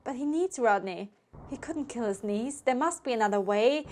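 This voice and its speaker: A woman's voice: on the high side, animated, normal speed, a little mellow. A woman storyteller reading a scripted tale in a theatrical, suspenseful manner. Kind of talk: dramatic scripted story narration. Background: music.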